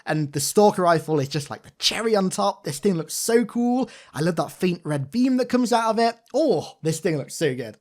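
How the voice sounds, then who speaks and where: A man giving an informal, enthusiastic gameplay breakdown as a gaming commentator, talking like an excited fan. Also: high-pitched, moderate pace, hardly any pauses.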